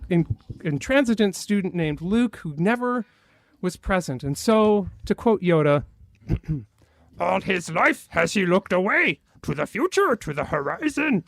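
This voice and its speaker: A man's voice: a little high, a little mellow, no room sound. Male minister, conversational sermon, light wry humor, dry pickup. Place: church sanctuary.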